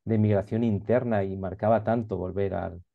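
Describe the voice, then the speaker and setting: A man interviewing a playwright over a video call, speaking haltingly with many restarts. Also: on the low side, steady tone, vocal fry. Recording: dull muffled video call audio.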